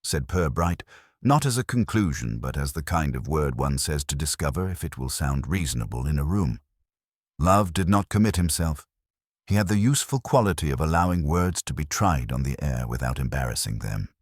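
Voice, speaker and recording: A man narrating a literary mystery in a measured, wry manner. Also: rumbling low, expressive, no room sound, studio quality.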